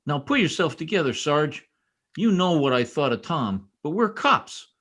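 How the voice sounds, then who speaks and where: An actor playing an earnest, insistent young police trooper in an old-time radio drama, speaking with urgent emphasis. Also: male voice, a little high, big pitch swings, normal speed, a bit bright.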